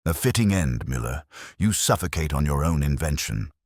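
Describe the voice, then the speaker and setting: A man voicing a cool, precise, commanding Victorian detective with deliberate, theatrical pronouncements in an audiobook. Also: extremely low pitch, sing-song, no room sound, clean studio sound, full character voice. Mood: cold, sardonic.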